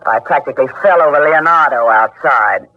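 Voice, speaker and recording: An actress playing a nervy, hot-headed murderous wife in a 1940s radio crime drama, defiant and snappish. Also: female voice, extremely low pitch, brisk. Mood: defensive, agitated. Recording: dull vintage 1940s radio recording.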